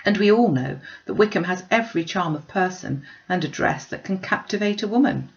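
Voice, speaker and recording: An earnest, sharp-minded young gentlewoman from a storybook classic voiced by a woman reader. She argues emphatically with lively rises and falls. The recording is slightly muffled and lo-fi. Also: lowish voice, fairly quick, vocal fry. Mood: troubled, critical.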